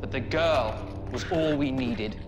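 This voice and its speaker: Villainous military officer: mid-pitched, creaky, formal, in a big reverberant hall. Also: male voice, huge pitch swings, measured pace, highs cut off, full character voice. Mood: cool accusation. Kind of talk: cinematic video game acting. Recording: long hall reverb, music behind.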